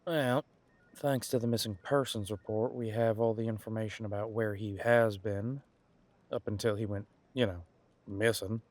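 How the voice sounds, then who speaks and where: A man's voice: lowish voice, steady tone, normal speed, a little mellow, no echo, lightly acted. A man playing a casual, wry police detective in an audio roleplay, musing in a conversational, hesitant way. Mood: explaining, thoughtful.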